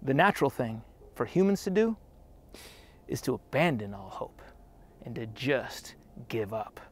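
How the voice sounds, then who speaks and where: A man's voice: medium pitch, big pitch swings, unhurried. A man in his thirties preaching a sermon outdoors on a trail, earnest and conversational, with frequent restarts. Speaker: pastor.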